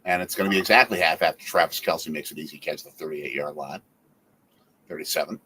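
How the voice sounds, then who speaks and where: A man hosting a casual watch-along stream, calling the plays in a lively, excitable way. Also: extremely low pitch, fast talker, a little hiss or hum. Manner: lively, excitable, laughs often. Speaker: livestream host.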